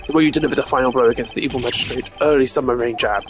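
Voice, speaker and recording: A man's voice: medium pitch, steady tone, very fast, music in the background, close to an everyday voice. A man voicing a smug, haughty old ambassador under suspicion in a video game, pompous and condescending. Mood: gushing.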